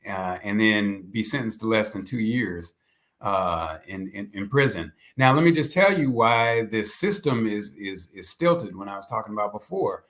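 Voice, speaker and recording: A man with a fairly low voice speaks as an academic guest in an interview. His delivery is conversational but deliberate, often doubling back on words as he builds an argument. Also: a bit bright. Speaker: guest, history professor. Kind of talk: conversational expert commentary.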